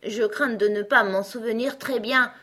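A woman voicing a polite, gentle seven-year-old girl in a storybook, with an earnest, courteous manner. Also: high-pitched, expressive, moderate pace, voice pushed up. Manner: polite, soft-spoken, earnest. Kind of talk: storybook character acting.

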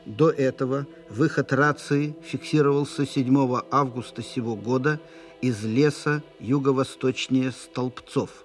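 A man acts a wartime officer dictating an urgent dispatch in a radio play. His delivery is official, measured and impersonal, every phrase set out formally. Music plays underneath. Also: medium pitch, steady tone, close to an everyday voice. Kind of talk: formal read-out dispatch in a Russian audio play.

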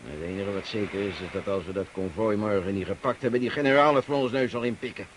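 Radio drama partisan leader, male actor: deep, gruff, shrewd, commanding, on a slightly noisy old recording. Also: fast, natural voice. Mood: irritated. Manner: commanding, emphatic, grumbling oaths.

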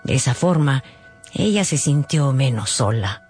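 A man's voice: medium pitch, lively intonation, measured pace, a little mellow, faint music behind. A man narrating a scripted radio drama as a storyteller.

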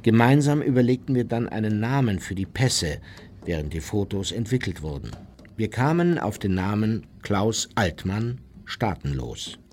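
An actor playing an unrepentant Nazi war criminal recounting his past in a measured, formal, matter-of-fact way for a radio play. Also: on the low side, lively intonation, a little mellow, slight background noise, close to an everyday voice. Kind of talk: radio play first-person memoir narration.

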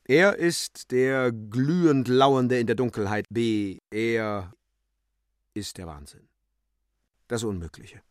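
A man's voice: medium pitch, slow pace, dry recording, studio quality. A man giving a theatrical, intense reading of literary prose for a radio drama.

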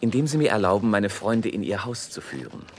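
A low male voice plays a courtly, self-assured nobleman in a radio play. His is polished and gallant, with some noise on the recording. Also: sing-song, brisk. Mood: gallant, flattering. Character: suave, courtly, self-assured aristocratic chevalier. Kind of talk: theatrical German radio drama acting.

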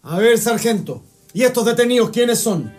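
Chilean military captain, radio play, male actor. High-pitched, sarcastic, commanding, coldly menacing, faint music behind. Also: expressive, moderate pace. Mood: cold menace. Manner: mock-polite, commanding. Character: menacing, sarcastic army captain running interrogations. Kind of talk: radio drama acting.

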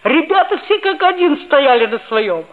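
An actress playing an earnest, mischievous schoolboy who narrates a radio play in a mock-serious, expressive way. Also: female voice, sits high, moderate pace. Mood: wry storytelling. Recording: tinny vintage 1960s radio recording.

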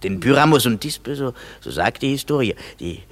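A man playing a fussy, excitable craftsman who directs an amateur play in a comic German radio drama. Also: mid-range voice, normal speed, close to an everyday voice. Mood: fussy, pondering. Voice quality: husky.

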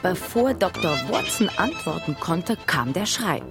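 A woman's voice: on the low side, expressive, measured pace, over music. A woman acting as the narrator of a radio play, telling a mystery from her childhood. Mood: suspenseful.

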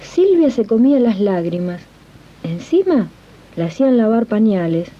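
A woman plays an Argentine domestic worker telling stories as narrator of an old radio serial. Her voice is very dark and mellow, with lively intonation and a comradely, indignant temper, on dull archive tape. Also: fairly high pitch, moderate pace. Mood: warm, storytelling. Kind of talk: 1980s radio drama narration, conversational storytelling.

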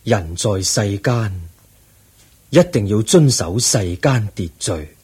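An actor playing an aged, serene Buddha in a Cantonese radio drama, with a Hong Kong accent and a solemn, measured, husky delivery. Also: male voice, lowish voice, animated, darkish tone, exaggerated voice. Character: aged, serene Buddha, eighty, weary yet calm teacher.

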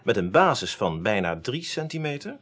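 A man's voice: medium pitch, very animated, normal speed, a bit bright. A man acting in a radio play as a pedantic, slightly reluctant forensic expert, precise and explanatory.

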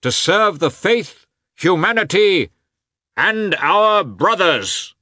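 A fervent gentleman orator from a classic novel, performed by a male reader, loud and tearful. He declaims in a high, forceful voice, drawing out his words. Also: expressive, takes it slowly, no room sound, studio quality, exaggerated voice. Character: fervent gentleman orator making a patriotic toast. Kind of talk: audiobook character voice in a classic novel.

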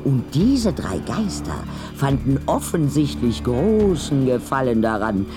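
A male narrator reads a scripted storybook audio drama. His mid-pitched voice moves expressively, with music playing underneath. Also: sing-song, slow.

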